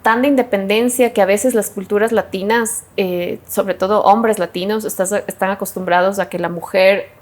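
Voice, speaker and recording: A young woman with an Ecuadorian Spanish accent chats as a podcast guest. She tells stories in a lively way and acts out what people said. Her voice sits at a middle pitch. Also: moderate pace, hardly any pauses. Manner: warm storytelling, animated quoting, frequent laughs. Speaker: guest sommelier. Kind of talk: casual interview chat.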